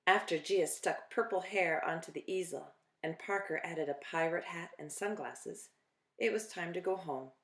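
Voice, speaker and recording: A woman reading a children's picture book aloud expressively, phrasing deliberately with dramatic pauses. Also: fairly low pitch, a bit bright. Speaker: children's storytime reader.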